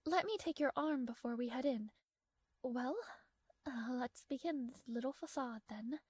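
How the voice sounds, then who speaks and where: A woman's voice: sits high, a little slow, breathy edge, dry recording. A woman playing a proud, strong-willed young princess in a romantic fantasy audio roleplay, speaking formally with haughty, flustered hesitations. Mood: instructing, shy.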